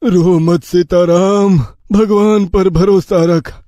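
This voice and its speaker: A man acts a sly landlord's servant in a village cartoon, coaxing and consoling with fake sympathy. His voice is soft-edged, very mellow and a bit high, in a dry recording. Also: steady tone, takes it slowly, dry recording. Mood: false sympathy. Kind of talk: Hindi cartoon moral story dialogue.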